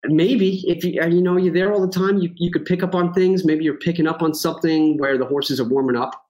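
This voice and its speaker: A man's voice: on the high side, steady tone, fairly quick, darkish tone. A man speaking as a podcast guest in a casual chat, rambling with many fillers and joking at himself. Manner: rambling, lots of fillers and restarts, self-joking.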